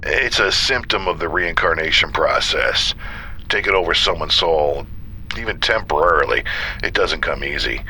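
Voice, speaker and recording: Very deep, crisp, wry man played by an actor. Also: lively intonation, normal speed, pushed and bright, a little hiss or hum, heavily acted. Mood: calm, explaining. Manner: casual, dry, reassuring. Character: seasoned, wry man guiding a nervous partner. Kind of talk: gothic horror audio drama acting.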